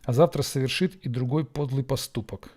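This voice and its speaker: A man from Ukraine, hosting a question-and-answer show, musing on viewers' questions with frequent pauses and fillers. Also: mid-range voice, a little mellow, husky. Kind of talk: Q&A monologue. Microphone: camera setup.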